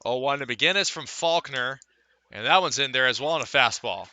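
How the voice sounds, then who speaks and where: A man's voice: mid-range voice, moderate pace, sharp tone, dry recording. A man calling live baseball play-by-play for radio. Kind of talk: live radio sports commentary.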